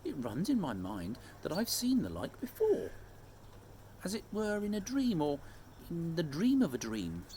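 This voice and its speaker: A man's voice: a little high, wildly swooping pitch, measured pace, dark tone, slight background noise, pitched above the performer's own voice. A man voicing noble young storybook kings in solemn, formal, archaic courtly speech. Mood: dreamy.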